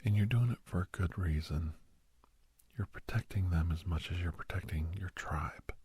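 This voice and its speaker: A man playing a doctor who counsels a young person in an ASMR roleplay, mostly whispering in a slow, breathy, reassuring but firm manner. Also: low-pitched, darkish tone, no room sound. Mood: reassuring, frank. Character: male doctor gently counselling a young werewolf for college.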